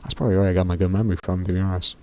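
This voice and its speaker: A teenage boy with a very deep voice, rambling casually to camera in a vlog, often stopping himself mid-sentence and swearing offhandedly. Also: flat delivery, racing, dark tone, highs cut off. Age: teens. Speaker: YouTuber.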